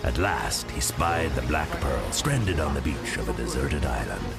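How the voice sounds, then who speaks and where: A man's voice: a bass voice, very animated, a little slow, gravelly. A man narrating an adventure audio drama in a dramatic, suspenseful storybook style. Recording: loud music under the voice.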